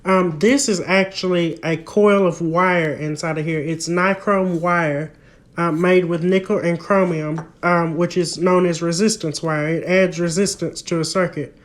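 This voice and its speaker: A man's voice: fairly high pitch, steady tone, unhurried. A young adult man giving a casual, hands-on repair demonstration, explaining each step as he goes. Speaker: hobbyist vlogger. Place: indoor room at home, at a wooden table. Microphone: handheld phone close by.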